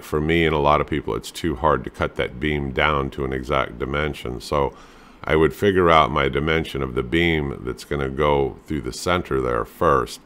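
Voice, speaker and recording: Middle-aged male DIY host, very low voice, easygoing and plain-spoken, filler-heavy delivery. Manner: relaxed, plain-spoken, fillers like "you know" and "um". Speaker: home handyman host. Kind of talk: casual instructional talk over photos.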